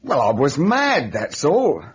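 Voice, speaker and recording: An actor playing a nervous, lovesick working-class lodger in an old-time radio drama, stammering, evasive and defensive. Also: male voice, on the high side, huge pitch swings, slow pace, slightly rough, exaggerated voice. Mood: agitated, defensive. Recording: dull, noisy old radio recording.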